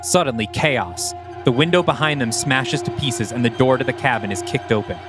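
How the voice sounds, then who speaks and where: A man's voice: medium pitch, moderate pace, music in the background. A man reading scripted, present-tense narration for an audio play as its narrator.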